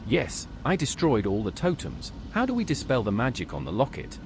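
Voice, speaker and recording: Animated male adventurer over loud ambience, acted by a man. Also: medium pitch, moderate pace, background noise. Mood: earnest, questioning. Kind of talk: fantasy game dialogue.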